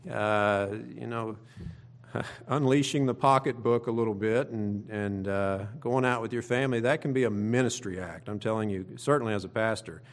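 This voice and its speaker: A man's voice: on the low side, normal speed. A middle-aged man with an Oklahoma accent preaching a sermon as a pastor, in a folksy, conversational way with emphatic rhetorical questions. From Oklahoma, United States. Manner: folksy, plain-spoken, conversational. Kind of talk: sermon to own congregation.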